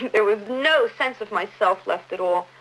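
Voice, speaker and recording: A woman's voice: mid-range voice, unhurried, fairly bright tone, low-quality recording. A young woman giving a candid, casual interview about her own experience.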